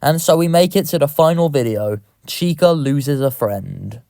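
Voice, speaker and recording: Higher-pitched male narrator, dry close sound. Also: expressive, a little slow, gravelly, mellow, dry recording. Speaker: horror video narrator. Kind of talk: scripted narration.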